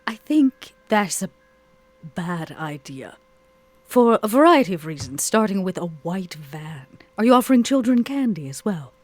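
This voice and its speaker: A woman playing a poised, calculating vampire lady in a role-play podcast, speaking formally and dryly with a husky tone. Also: mid-range voice, sing-song, darkish tone, no room sound. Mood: cool, dry. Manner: formal, measured, dry. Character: poised, disdainful, high-heeled vampire woman, coolly calculating. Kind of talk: in-character tabletop role-play.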